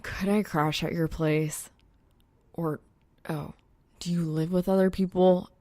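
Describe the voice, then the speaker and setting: A woman voicing a grumpy, awkward young man with a deadpan, hesitant delivery in an audiobook. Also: on the low side, takes it slowly, dry recording. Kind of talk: audiobook character acting.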